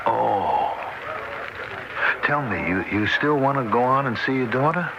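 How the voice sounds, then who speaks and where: An actor playing a weary, wry, sympathetic middle-aged commuter in an old-time radio drama, talking conversationally. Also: male voice, medium pitch, expressive, measured pace, muffled recording, noisy background. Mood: curious, gentle.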